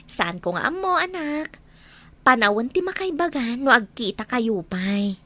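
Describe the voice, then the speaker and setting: A wary, sorrowful mother, played by a woman with a fairly high voice and an Ilocano Filipino accent. She speaks earnestly, as in a radio drama about family hardship. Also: big pitch swings, a little slow, sharp tone, heavily acted. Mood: apologetic, sad. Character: wary, burdened mother raising children alone. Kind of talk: Ilocano audio drama acting.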